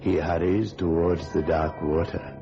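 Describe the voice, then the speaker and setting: A man's voice: sits low, fairly even intonation, unhurried. A man giving solemn, ominous scripted narration in an old radio thriller. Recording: dull vintage radio recording. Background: music.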